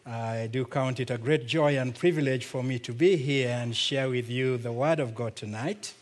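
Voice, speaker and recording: A man with a Kenyan accent, a visiting pastor preaching a sermon slowly and deliberately, drawing out words for emphasis. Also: mid-range voice.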